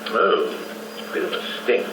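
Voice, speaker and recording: An actor playing an irascible, arrogant English archaeologist in a radio drama, brusque and exasperated. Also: male voice, slow pace, far from the mic, close to an everyday voice. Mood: tense, absorbed. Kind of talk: 1990s radio drama acting. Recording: noisy roomy radio copy, off-mic.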